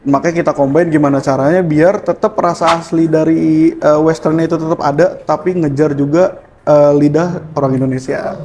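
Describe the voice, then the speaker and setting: A man explains how his cafe works, his voice pitched a little high. He talks in an easygoing way, dotting his answers with hesitant fillers, over faint background noise. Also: fairly even intonation, normal speed, a little mellow. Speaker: chef and barista at a cafe. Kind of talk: casual interview answers.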